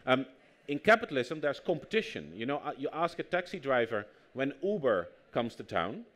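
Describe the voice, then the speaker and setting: A man's voice: medium pitch, moderate pace. A man with a Dutch accent, a native Dutch speaker, telling anecdotes as an author being interviewed at a public talk. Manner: lively anecdotal storytelling, acts out quotes. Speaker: author and anthropologist guest. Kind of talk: conversational interview on stage.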